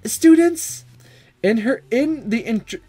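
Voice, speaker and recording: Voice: medium pitch, animated, slow pace, mellow, husky, pitched above the performer's own voice. A let's player voicing a stern, awkward female teacher from a visual novel, making formal announcements over game music. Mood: prim, official.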